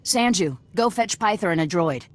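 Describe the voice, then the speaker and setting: A woman as a low-voiced, hard-bitten rebel commander. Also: expressive, moderate pace, natural voice. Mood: hard, businesslike. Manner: blunt, curt, threatening. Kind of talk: video game voice acting.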